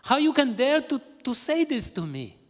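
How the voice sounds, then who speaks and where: A man voicing a devoted young princess who angrily insists on following her husband, in a high, strained, pleading voice. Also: big pitch swings, moderate pace. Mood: indignant. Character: devoted young princess, fiercely loyal wife. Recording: reverberant hall.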